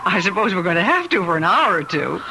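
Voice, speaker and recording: A woman's voice: on the low side, very animated, quick pace. An actress playing a stranded, impatient, incredulous woman traveler in an old-time radio drama. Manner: demanding, exclamatory. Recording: very noisy old radio recording.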